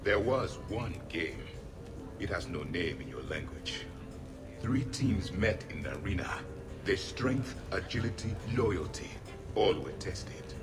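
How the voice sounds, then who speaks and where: An ancient alien warrior in a video game. His voice is low, his phrasing formal and full of proud disdain. Music plays clearly behind him. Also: expressive, a little slow. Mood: proud, dry. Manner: formal, haughty.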